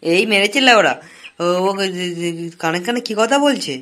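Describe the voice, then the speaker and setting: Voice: mid-range voice, lively intonation, normal speed, pushed and bright. An actor plays a jobless young cartoon boyfriend with a pleading, whiny manner. He is crude and comic, and tends to say things over again. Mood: panicked.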